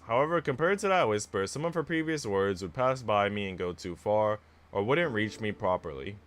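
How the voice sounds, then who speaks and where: A man livestreaming a visual novel, moving between casual, chatty musing and expressive story narration. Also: lowish voice. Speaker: streamer reading a visual novel aloud. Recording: music playing behind the voice. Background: background music.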